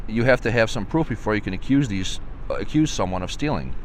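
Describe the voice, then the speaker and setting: A man playing a calm, dutiful, reassuring police sergeant and father in a children's storybook. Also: lowish voice, fast, a little hiss or hum, natural voice. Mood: firm.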